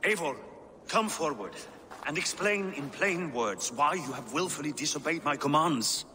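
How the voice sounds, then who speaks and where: A man's voice: fairly high pitch, expressive, normal speed, pushed and bright, slight background noise. A man voicing an aggrieved older Viking king in a video game, stern and commanding, with formal, reproving speech. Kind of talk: dramatic video game cutscene acting.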